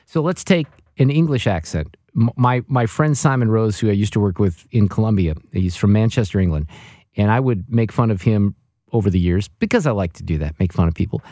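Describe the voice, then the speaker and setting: Animated, teasing male radio host. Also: lowish voice, brisk, no echo, clean studio sound. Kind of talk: casual on-air chat.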